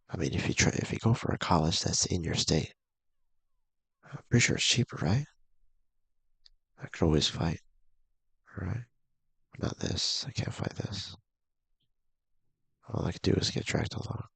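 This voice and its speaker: A man with a gravelly, husky voice casually reading a visual novel aloud, halting and mumbling with offhand asides. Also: low-pitched, fairly bright tone, dry recording. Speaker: video game streamer.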